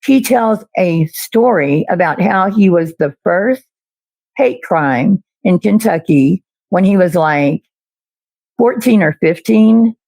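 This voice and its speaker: A slow, gravelly speaker in a played clip builds a pointed case against someone, measured and accusing. The audio is dry and close. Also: mid-range voice, expressive, darkish tone, no echo. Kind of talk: argumentative commentary.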